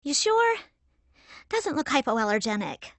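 An excitable young boy sidekick, very high. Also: unhurried, no room sound, exaggerated voice. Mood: excited. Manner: excitable, curious. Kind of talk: video game voice acting. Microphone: studio mic, dry.

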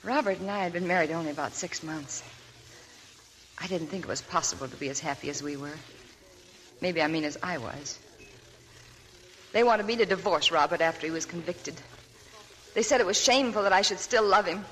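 Actress as a young woman with a hidden past, soft and subdued, 1940s radio drama. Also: fairly low pitch, fairly quick, pauses often, slight background noise, natural voice, rough recording. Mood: confiding. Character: young woman hiding a sad past, weary. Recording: old radio broadcast with faint music.

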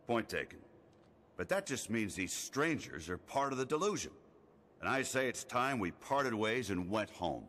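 A man's voice: medium pitch, very animated, takes it slowly, a bit bright, full character voice. A man playing a proud, skeptical toy space ranger in a video game, speaking in firm, dismissive declarations. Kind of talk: animated video game dialogue. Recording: clear background noise and ambience.

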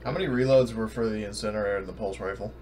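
A man's voice: lowish voice, very fast, noisy background. A man chatting casually as a player in an online tabletop role-playing game, often speaking in character. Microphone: online voice call.

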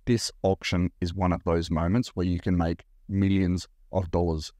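A man in his forties with an Australian accent, talking straight to camera about reselling in a blunt, animated way. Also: deep voice, normal speed, dry recording, clean studio sound. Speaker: reseller vlogger. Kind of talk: direct-to-camera vlog talk. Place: indoor room by large window.

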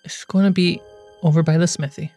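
A man roleplaying a calm, reasoning mother in a tabletop game. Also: fairly high pitch, fairly quick, faint music behind, close to an everyday voice. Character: calm, reasoning mother reassuring her daughter.

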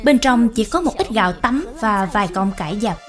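A woman giving scripted narration of a moral animated story. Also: medium pitch, normal speed. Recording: music behind the voice. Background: music.

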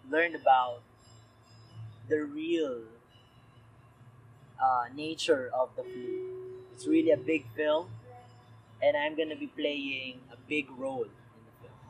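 A man's voice: a little high, slight background noise, rough recording. A young Filipino man with a Filipino accent, speaking in an interview about his acting. He talks earnestly, restarts his sentences often and mixes Tagalog with English. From Philippines. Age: young adult. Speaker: actor. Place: indoors in a cinema with red seats.

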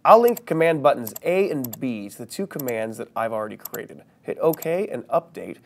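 A man in his thirties narrating a scripted software training tutorial, explaining step by step with emphasis on key terms. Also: mid-range voice, big pitch swings, moderate pace, gravelly. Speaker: training video presenter.

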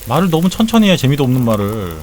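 Lively, rambling man in his forties. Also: medium pitch, fast, slight background noise. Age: 40s. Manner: rambling, joking banter with viewers, blunt swearing. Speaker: game streamer. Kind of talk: casual live-stream chat while gaming.

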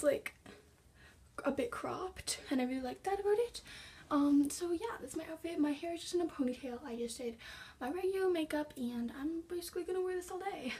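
A teenage girl chatting casually to her phone camera at home, full of fillers and a little hoarse. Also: high-pitched, a little mellow. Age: teens. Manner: chatty, lots of fillers, upbeat. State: slightly hoarse, losing her voice. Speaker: vlogger. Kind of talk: casual vlog. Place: house, indoors and on a back deck. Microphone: phone camera at arm's length.

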